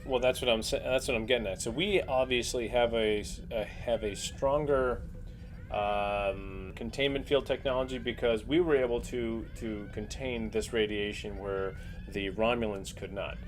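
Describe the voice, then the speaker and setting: A man's voice: medium pitch, steady tone, normal speed. A man playing a tabletop game, casually working out a plan with the other players. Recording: clear background noise.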